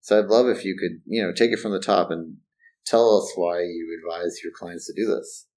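Male podcast host, upbeat, casual, slightly low voice. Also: moderate pace, a little mellow, low-quality recording. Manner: upbeat, casual, uses "right?" and "like". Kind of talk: podcast hosting and interviewing.

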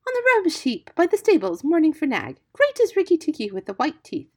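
Storybook songbird, played by a woman: small silly male, very high, swooping sing-song, loud and grandiose. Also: huge pitch swings, fairly quick, exaggerated voice. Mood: flattering, airy. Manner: grandiose, exclamatory. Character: vain feather-brained male songbird, triumphantly singing praises.

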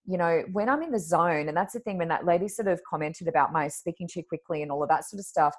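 A woman with a naturally deep voice, talking in a relaxed, unhurried way. Her pitch sits very low, and her speech is loose and conversational, with little pauses and fillers as she thinks aloud. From Australia. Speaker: podcast co-host and card reader. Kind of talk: casual conversational chat.

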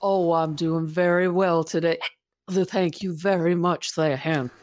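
A prim, courteous adventuress, voiced by a woman, speaking in formal, careful phrases. Her voice sits very low and is dropped soft, almost conspiratorial, and is close and dry. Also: fairly even intonation, measured pace, no echo, lightly acted. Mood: polite. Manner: formal, overly courteous wording.

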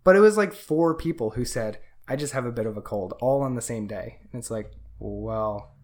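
A man's voice: medium pitch, normal speed, darkish tone. A man co-hosting a podcast, explaining in a casual chat with many fillers. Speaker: podcast co-host.

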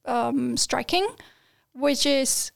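A woman's voice: high voice, fairly even intonation, extremely slow, gravelly. A woman in her twenties, a student guest, talking casually with lots of fillers and laughter. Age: 20s. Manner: chatty, laughs often, many fillers and restarts. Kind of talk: casual podcast chat.

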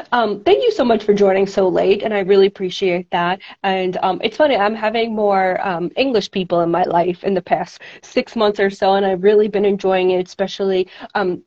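A woman's voice: mid-range voice, dark tone. Woman, New York accent, podcast host, chatty with fillers, slightly dull call audio. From United States. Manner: chatty, many fillers and restarts. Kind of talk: casual chat.

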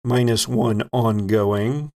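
Unhurried, gravelly man running a tabletop game. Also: mid-range voice, fairly even intonation, darkish tone. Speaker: game master. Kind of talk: narrating a tabletop role-playing game.

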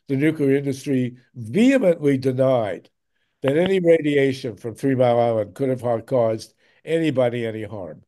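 A man's voice: medium pitch, mellow, a little hiss or hum. Emphatic man hosting a talk show. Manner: emphatic, repeats himself when moved. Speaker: host. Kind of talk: casual talk show chat over video call. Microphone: video call.

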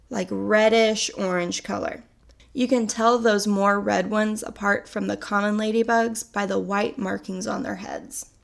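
Woman, young, podcast host, slightly sick voice, vocal fry, mid pitch, casual. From North America. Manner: chatty and upbeat, explaining facts. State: recovering from a cold, sounds a little sickly. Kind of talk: casual solo podcast narration.

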